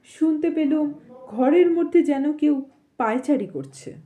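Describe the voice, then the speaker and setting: A woman reading a story aloud as an audio storyteller, in an expressive, suspenseful narrating manner. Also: high voice, a little slow, soft background music. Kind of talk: dramatic reading of a Bengali ghost story with casual asides.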